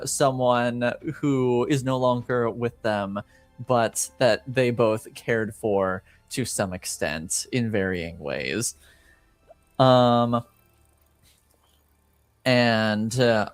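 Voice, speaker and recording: A man narrating a casual recap as game master of a tabletop game, haltingly searching for words. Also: medium pitch, clear, resonant tone, no room sound.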